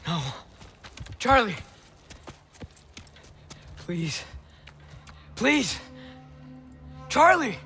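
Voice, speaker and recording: A man's voice: very high voice, big pitch swings, extremely slow, long pauses, sharp tone, soft background music, heavily acted. An actor playing a defiant, earnest and hurt teenage boy in a video game drama. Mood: worried, tender.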